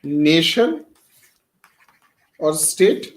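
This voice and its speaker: Man from Bihar, classroom lecture, high-pitched voice, rhetorical questions, calls on students. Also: very animated, very slow. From Bihar, India. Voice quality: speaks Hindi with much English mixed in, quizzes students by name. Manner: asks rhetorical questions and answers them himself. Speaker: teacher.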